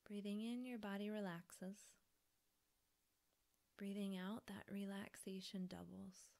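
A woman softly guiding a yoga relaxation meditation in a breathy, soothing manner with pauses. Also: medium pitch, little pitch movement. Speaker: yoga nidra teacher.

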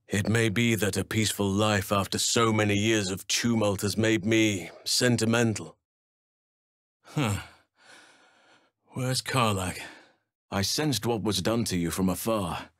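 An actor playing a burly middle-aged male elf druid in a fantasy game, earnest and gentle, with measured, formal phrasing. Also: fairly low pitch, no echo, clean studio sound. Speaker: video game character actor.

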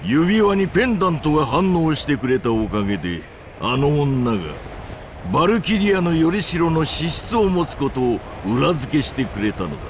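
An actor playing a haughty, fanatically loyal imperial general with a gravelly voice and grand, pompous phrasing in a Japanese video game. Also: male voice, on the high side, very animated, normal speed, noisy background. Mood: gloating. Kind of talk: theatrical Japanese video game villain acting.

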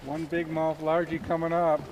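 A man chatting and cheering excitedly while fishing with friends from a small boat. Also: a little high, normal speed, a little hiss or hum, rough recording. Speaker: fishing companion. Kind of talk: casual chat while fishing. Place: small open boat on a lake, outdoors.

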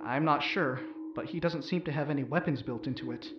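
An actor playing an anxious, loyal young rebel crew member in a sci-fi audio drama. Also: male voice, mid-range voice, fairly quick, reverberant, highs cut off, noisy background, close to an everyday voice. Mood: tense, reporting.

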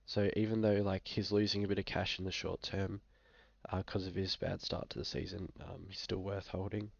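Low gravelly young Australian man, a bit sick. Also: level tone, moderate pace, dry recording, muffled recording. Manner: laid-back, frequent fillers, hesitant. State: sick, occasional coughing. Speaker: fantasy football vlogger. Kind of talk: casual screen-share commentary. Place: small room indoors.

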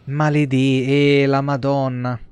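Man streaming games, fairly high voice. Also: level tone, slow pace, darkish tone, slight background noise. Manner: sarcastic, foul-mouthed, rambling. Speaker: video game streamer. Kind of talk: casual live game commentary. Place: small room at home.

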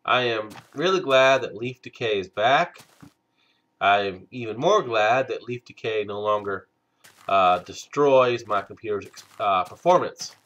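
Man with a head cold, game commentary host, unhurried and halting, bright tone, dull lo-fi mic. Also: fairly low pitch, expressive. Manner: slow, hesitant, many "uh" fillers. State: stuffed up from a cold. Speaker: let's play host. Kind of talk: casual gameplay commentary.

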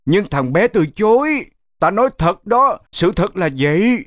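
A man voicing a hapless, excitable grey wolf in a children's cartoon, dramatic and exclaiming. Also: high voice, unhurried, no echo, studio quality, full character voice. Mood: pleading, flustered. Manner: exclaiming, dramatic, stammering when flustered.

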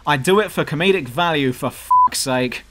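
A southern English man reads his scripted commentary in a dry, self-mocking way. His voice is on the higher side for a man, and his pitch jumps about a great deal. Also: animated, moderate pace. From southern England. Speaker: YouTube gaming channel host. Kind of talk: scripted commentary over gameplay.

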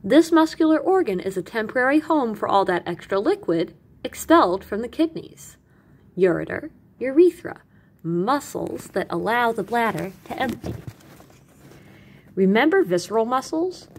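A woman reading educational material aloud as a teacher, with animated emphasis and carefully separated lists. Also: on the high side, moderate pace, slightly rough. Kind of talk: expressive educational read-aloud.

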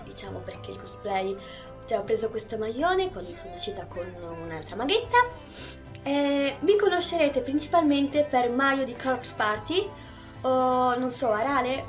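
A young woman chatting casually and ramblingly into a phone at arm's length indoors. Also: high voice. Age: young adult. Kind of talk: casual chat to camera. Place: indoor room.

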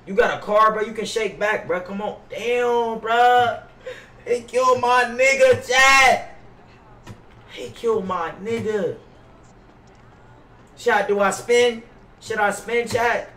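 A man's voice: very high voice, steady tone, slow, long pauses, bright, forceful tone. A young American man streaming a roleplay game in slangy, pleading, dramatic banter, speaking into a headset in a small room. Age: young adult. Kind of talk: live game-stream commentary and in-character banter. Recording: roomy, distant voice with clear background noise.

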